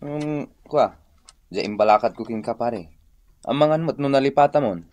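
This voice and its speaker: A man's voice: medium pitch, moderate pace, darkish tone, close to an everyday voice. A man playing an earnest friend giving sober advice in an Ilocano-accented radio drama. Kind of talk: Filipino radio drama acting.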